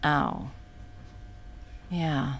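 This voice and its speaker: A woman's voice: low voice, fairly even intonation, very slow, gravelly, a little hiss or hum, heavily acted. A woman in her thirties voicing a profane, blunt woman supervillain in an audio roleplay, mocking at first and then coaxing with pet names. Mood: indignant. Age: 30s. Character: sharp-tongued woman supervillain, long-time arch-enemy.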